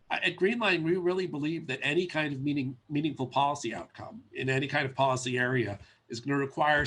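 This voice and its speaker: Man, stuffy from a cold, husky voice, webinar talk, lots of ums. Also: medium pitch, a bit bright. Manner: conversational, many ums and uhs. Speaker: policy presenter. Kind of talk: webinar presentation.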